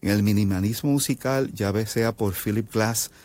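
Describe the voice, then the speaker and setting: Man, very low voice, Puerto Rican accent, composer being interviewed, thoughtful halting explanations. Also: a little mellow, no echo. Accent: Puerto Rican Spanish. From Puerto Rico. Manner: explanatory, halting with fillers and restarts. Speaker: composer guest. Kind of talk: interview answers.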